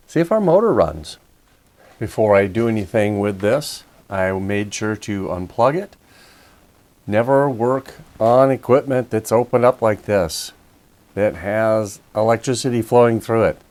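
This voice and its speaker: A man's voice: mid-range voice, darkish tone. A man giving a casual hands-on repair walkthrough, explaining things methodically, step by step. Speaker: repair video host.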